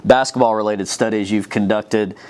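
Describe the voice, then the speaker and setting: A man's voice: on the low side, steady tone, moderate pace, darkish tone, clear, resonant tone. Man hosting a studio interview. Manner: scripted intro, then joking banter. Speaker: host, professor. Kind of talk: interview host.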